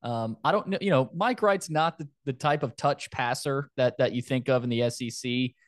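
A man with a medium-pitched voice hosts a casual football podcast. He talks loosely and conversationally, often doubling back on phrases. The sound is dry, with no room echo. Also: moderate pace. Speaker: sports podcast host.

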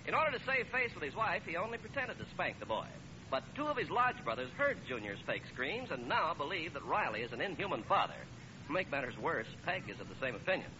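A male announcer in the style of 1940s radio, delivering scripted copy. His voice is high and very animated. The broadcast recording is muffled and noisy. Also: fast talker.

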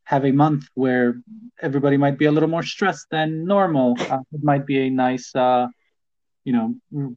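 A man co-hosts a casual podcast. He speaks hesitantly, with frequent fillers and restarts, and talks down his own skills. His voice sits at an ordinary pitch, and the recording sounds a bit dull and lo-fi. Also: steady tone, moderate pace, a little mellow. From Poland. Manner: hesitant, many ums and restarts, self-deprecating. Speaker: podcast co-host.

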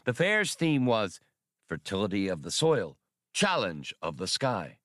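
A man narrating a science fiction novel as an audiobook reader, measured and expressive. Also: on the low side, no room sound, clean studio sound. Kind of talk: scripted narration.

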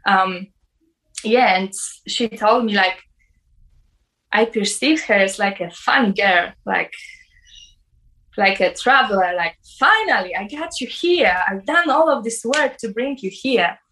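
A woman's voice: medium pitch, animated, sharp tone, poor audio. Young woman, personal testimony, hesitant, filler-heavy. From Poland. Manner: halting, many "like" fillers.

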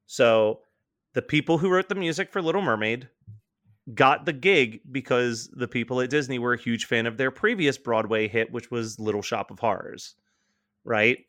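A man's voice: medium pitch, moderate pace, a bit bright, no room sound. A man co-hosting a casual podcast, talking eagerly about songs and now and then singing lines. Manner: enthusiastic, breaks into singing show tunes. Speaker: podcast co-host. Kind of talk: casual chat.

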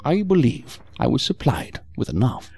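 An actor playing a calm, evasive male psychiatrist in an audio drama, speaking in a measured, formal, unctuous manner. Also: medium pitch, creaky, breathy edge, soft background music, heavily acted. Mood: evasive, controlling.

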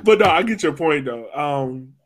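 Higher-pitched man hosting a casual chat. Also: lively intonation, normal speed. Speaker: podcast host moderating the discussion.

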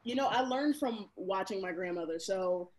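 A woman in her thirties from Barbados, a chef guest telling stories in a casual, animated way with many fillers. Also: medium pitch, normal speed, crowd noise. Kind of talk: casual interview chat.

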